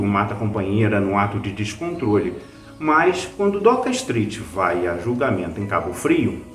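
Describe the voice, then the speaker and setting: A man's voice: medium pitch, reverberant. A man with a Brazilian accent, hosting and narrating a scripted review. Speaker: host, writer and reviewer.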